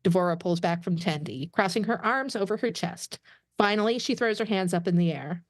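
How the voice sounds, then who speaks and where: A woman's voice: on the low side, brisk, no echo. A woman reading stage directions aloud as the narrator of a scripted table read.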